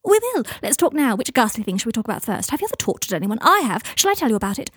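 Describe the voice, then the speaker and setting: A woman's voice: fairly high pitch, big pitch swings, rapid-fire, slightly rough, no echo, clean studio sound. A woman voicing a brittle, giddy young man on drugs who gushes theatrically in an audiobook story. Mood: brittle, gushing. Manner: theatrical, gushing, calls people darling. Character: giddy, brittle, high-pitched drugged young man, falsely gushing.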